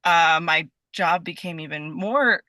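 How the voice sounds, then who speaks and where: Bright-voiced woman chatting as a guest. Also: fairly low pitch, a little slow, no echo, clean studio sound. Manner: chatty, animated storytelling with quoted asides; giggly, breaks into laughter. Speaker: podcast guest. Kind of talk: casual interview chat.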